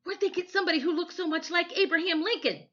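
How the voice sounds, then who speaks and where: A woman takes on an amazed young storybook boy, pitched up and full of wonder. The tone is bright, on a slightly dull recording. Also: fast. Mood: hushed amazement. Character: awestruck young boys playing a game.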